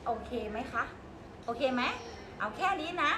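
A woman's voice: a little high, normal speed, reverberant, background noise. A woman preschool teacher patiently coaxing small children in a classroom to make up a story together.

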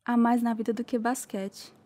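An actress plays a plain-spoken sister in an audio drama. She talks in a conversational, matter-of-fact way, supportive and frank, with a voice slightly higher than average. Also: level tone, normal speed, mellow, no echo, lightly acted.

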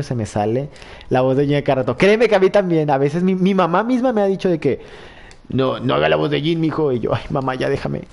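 A young Mexican man with a Mexican Spanish accent, streaming a game live and chatting playfully as he reads comments. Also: darkish tone. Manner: playful, chatty, laughs often, jumps into high squeals. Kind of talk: live-stream gameplay chat reading comments.

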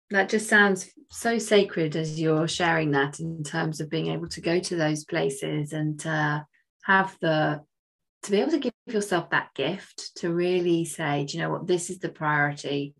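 A woman's voice: sits low, a little slow, creaky. A woman hosting a podcast over a video call, affirming her guest and asking long, rambling questions. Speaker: podcast host. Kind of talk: interviewing a guest.

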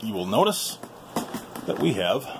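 Man, low voice, informal folksy hobbyist host, casual tour commentary, clear background noise. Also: big pitch swings, measured pace. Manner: informal, folksy, addressing viewers. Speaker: video host and radio hobbyist. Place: small indoor workshop.

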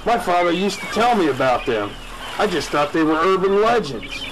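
A man playing a stubborn, educated city fish expert in a spoof radio drama, testy and quick to contradict. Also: high-pitched, big pitch swings, background noise. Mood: amazed.

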